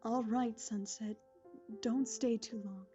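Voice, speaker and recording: A woman playing a gentle, concerned pony princess and wise mentor, speaking in a measured, formal, kindly way for an animated audio drama. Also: a little high. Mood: calm, advising. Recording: slightly dull audio, faint music.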